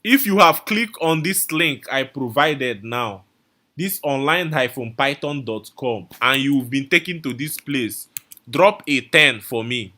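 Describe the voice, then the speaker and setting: A man's voice: medium pitch, animated, a little slow, sharp tone. A man teaching a live online coding class, repeating his instructions and calling out viewers' names from the chat. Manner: repetitive, coaxing, calls out viewer names.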